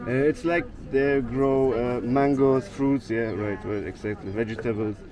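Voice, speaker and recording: A man's voice: mid-range voice, steady tone. A man in his thirties with a German accent, a vlogger chatting casually with strangers and searching for his words. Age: 30s. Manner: halting, searching for words, frequent restarts. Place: outdoors at a beach. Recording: outdoor crowd chatter. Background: crowd noise.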